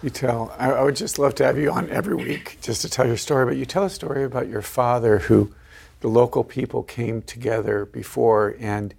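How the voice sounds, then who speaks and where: Gravelly-voiced male priest hosting. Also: medium pitch, a little fast, a little mellow, slight background noise. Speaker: host, priest. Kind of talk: hosting a panel talk.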